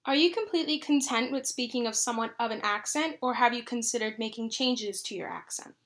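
Bright, higher-pitched woman interviewer. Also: brisk. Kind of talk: reading prepared interview questions.

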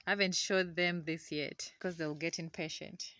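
A young Ugandan woman with a Ugandan accent, chatting excitedly to a phone camera in her outdoor garden. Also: lowish voice, moderate pace, no room sound. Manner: excitable, chatty, laughs often. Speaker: gardening vlogger. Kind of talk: casual chat to camera. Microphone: phone camera held close.

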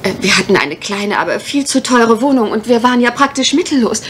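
An actress in a mystery radio play as an anxious, well-bred young parson's daughter, speaking politely and hesitantly in careful detail. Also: female voice, a little high, expressive, a little fast, a bit bright. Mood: resigned, recounting. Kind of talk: 1980s German mystery radio play acting. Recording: faintly noisy old radio recording.

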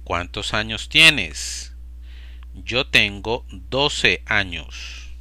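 A man slowly and deliberately repeating short phrases for language learners. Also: lowish voice, lively intonation, pushed and bright, dry recording. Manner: slow, deliberate, evenly paced. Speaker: Spanish teacher. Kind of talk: slow repetition drill for learners.

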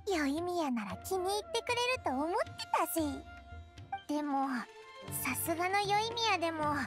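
A woman's voice: expressive, normal speed. A woman voicing a small, cheeky, eager fairy companion with a childlike voice and boyish, brash phrasing in an anime game. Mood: reassuring. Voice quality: squeaky, childlike. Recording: music behind the voice. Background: playful game music.

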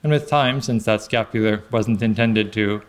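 A man in his forties preaching a sermon, halting and repeating words with many "you know" fillers, speaking into a lectern microphone. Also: lowish voice. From England. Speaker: priest. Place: large church sanctuary. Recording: echoey church with long reverb.

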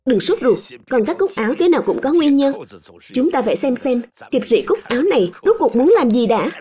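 A woman's voice: sits high, sing-song, fairly quick, mellow. A woman voicing an easygoing, reasonable father in a dubbed children's cartoon. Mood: reasoning.

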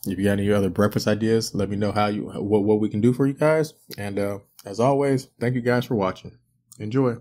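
Male home cook, 30s, low-ish voice, laid-back rambling narration with plenty of fillers. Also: fairly quick. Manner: relaxed, rambling with many fillers. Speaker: cooking channel host. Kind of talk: casual cooking voice-over. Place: home kitchen.

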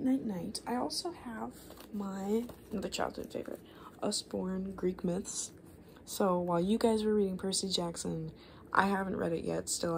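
A woman in her twenties softly reading children's books and chatting in an informal, rambling ASMR style, often dropping to a whisper. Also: on the low side, vocal fry. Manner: rambling, informal, gently chaotic asides. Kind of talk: soft-spoken ASMR reading and casual chat. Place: small living room, indoors.

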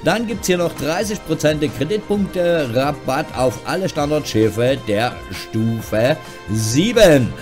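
A man's voice: fairly high pitch, animated, slow. Chatty man over background music. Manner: chatty, informal, wry. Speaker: host of a gaming news show. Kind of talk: casual, tongue-in-cheek news commentary.

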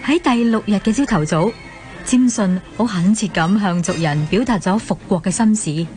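A woman's voice: medium pitch, moderate pace, a little mellow, faint music behind. A woman with a Hong Kong Cantonese accent narrating a scripted myth story for a radio drama.

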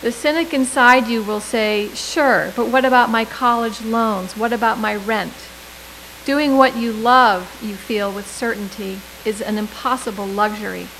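A middle-aged woman delivering a prepared commencement speech at a podium microphone, with measured delivery and deliberate emphasis. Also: fairly high pitch, a bit bright, a little hiss or hum, poor audio. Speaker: commencement speaker.

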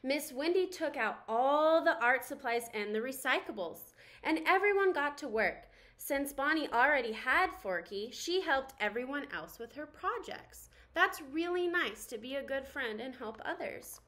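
Bright, high-voiced young woman reading aloud. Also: a little slow. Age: young adult. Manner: animated, storytelling to children. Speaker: art teacher reading a picture book aloud. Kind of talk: expressive children's read-aloud narration.

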